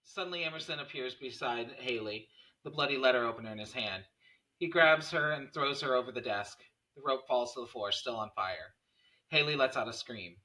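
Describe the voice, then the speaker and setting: Bright, forceful male narrator. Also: mid-range voice, fairly quick, poor audio. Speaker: narrator reading stage directions. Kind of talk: scripted narration of a horror screenplay.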